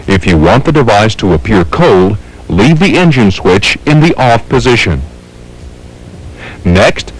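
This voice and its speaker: A man's voice: fairly low pitch, poor audio. A man with an American accent reading scripted instructional narration in a formal, methodical, step-by-step way. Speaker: training film narrator.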